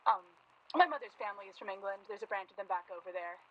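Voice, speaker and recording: A woman's voice: mid-range voice, expressive, fast, highs cut off, lightly acted. A woman playing a guarded, polite young journalist in a naturalistic radio drama, speaking with reserve and some hesitation. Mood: awkward, polite. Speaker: actor in a radio play.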